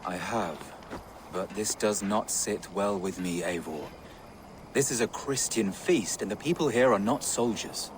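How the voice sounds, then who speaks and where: An actor playing a troubled, reproachful warrior ally in a video game, speaking gravely. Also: male voice, lowish voice, measured pace, noisy background, natural voice. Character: troubled, conscientious male warrior ally, reluctant and weary.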